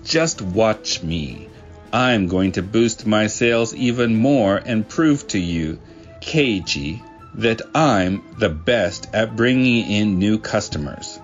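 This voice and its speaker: A man's voice: on the low side, animated, takes it slowly, highs cut off, soft background music. A man voicing a cocky, suspicious young office salesman in a manga dub, boastful and dismissive. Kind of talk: manga dub character acting.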